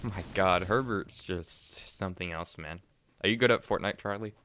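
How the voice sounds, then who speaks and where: A young man streaming a game from his room, chatting excitedly and repeating himself. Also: low-pitched, normal speed, a little hiss or hum. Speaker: gaming streamer. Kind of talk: casual gameplay chat. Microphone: desk mic, wearing headset.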